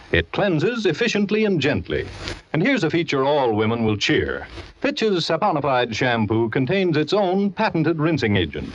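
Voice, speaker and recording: Male American vintage announcer, fairly high voice, very animated sales read, muffled period radio recording. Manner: polished salesman delivery. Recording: dull old radio recording, faint music.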